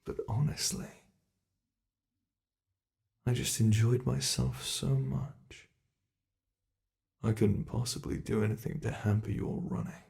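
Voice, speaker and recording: Slow, gravelly, taunting monster played by a man. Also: mid-range voice, darkish tone. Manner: taunting, theatrical, drawn-out menacing delivery with breathy whispers. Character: sadistic playful ancient male monster hunting human prey. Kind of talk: ASMR roleplay, scripted monologue.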